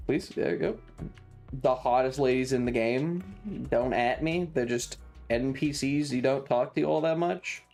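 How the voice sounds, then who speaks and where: A man gives casual, sarcastic game commentary in a mid-pitched voice that swings widely. He sounds exasperated and dismissive as he reads and chats, with game music behind him. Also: vocal fry, a little mellow. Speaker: live streamer. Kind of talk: casual chatty gameplay commentary while reading game text.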